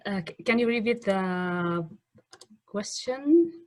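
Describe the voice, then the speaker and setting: Dry-sounding, halting woman hosting a webinar. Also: mid-range voice, lively intonation, no echo. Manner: halting, searching for words. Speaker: webinar host. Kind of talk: unscripted webinar introduction. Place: online video call.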